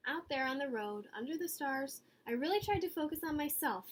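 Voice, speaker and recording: Earnest, well-meaning storybook egg, read by a woman; high voice, dramatic pauses, audible background noise. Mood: lonely, reflective. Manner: expressive, dramatic pauses and emphasis. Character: earnest, eager, weary good egg telling its story. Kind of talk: picture-book read-aloud.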